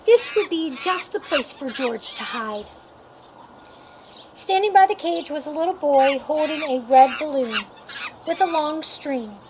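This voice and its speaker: A woman with a high-pitched voice reads a children's picture book aloud. She takes her time, phrasing each part deliberately and expressively for young listeners. There is faint noise and birdsong behind her. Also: lively intonation. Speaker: storyteller reading a picture book. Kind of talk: read-aloud children's storytime narration.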